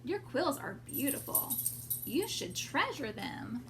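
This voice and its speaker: A woman's voice: high voice, sing-song, slow pace, faint music behind, full character voice. A woman voicing a kindly old male porcupine uncle in a children's storybook, affectionate and reassuring. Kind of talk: children's storybook read-aloud. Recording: clear background noise.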